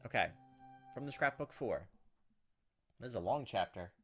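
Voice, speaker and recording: A man's voice: medium pitch, animated, normal speed, fairly bright tone. A man hosting a gaming video, reading visual novel text aloud and adding his own commentary. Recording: game music and insect sounds behind.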